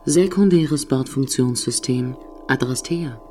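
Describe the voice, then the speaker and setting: A woman playing a cool, formal, protective space-station computer in a science-fiction audio drama, speaking in measured, officious phrases. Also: a bass voice, mellow, soft background music.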